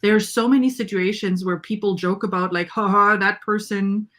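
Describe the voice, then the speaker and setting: A woman's voice: mid-range voice, steady tone, normal speed, fairly bright tone. A woman talking casually and emphatically in a book discussion, often checking agreement as she goes.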